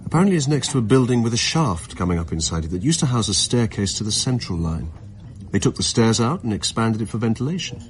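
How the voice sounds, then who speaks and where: A man plays a suave, mysterious suitor in a radio drama. His low voice moves in big, playful swoops, teasing and evasive, with a quiet menace underneath. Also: lively intonation, fast, hardly any pauses, close to an everyday voice. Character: smooth, enigmatic male suitor with a quiet menace.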